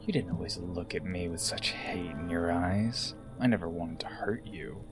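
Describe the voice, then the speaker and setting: A man acting a coldly taunting, possessively fond rebel with hushed, deliberate menace in an audio drama. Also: deep voice, steady tone, music in the background, lightly acted. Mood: taunting, intimate.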